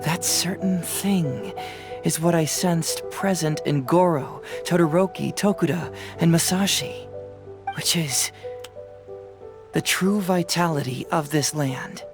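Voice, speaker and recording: A woman's voice: deep voice, slow pace. A woman voicing a thoughtful, easygoing young detective in a video game, with a sincere, reflective delivery. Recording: music behind the voice.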